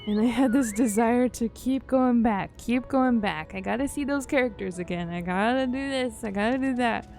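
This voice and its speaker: A woman's voice: on the high side, normal speed, gravelly, a little mellow. A woman streaming a game, chatting casually and informally, musing aloud and often letting sentences trail off. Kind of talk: casual livestream chat while playing a game. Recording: game music behind the voice.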